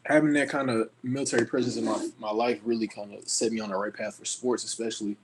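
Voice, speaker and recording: A man in his twenties, a podcast guest, telling stories about growing up in relaxed, slangy casual chat. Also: lowish voice, fast, a little hiss or hum, poor audio. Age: 20s.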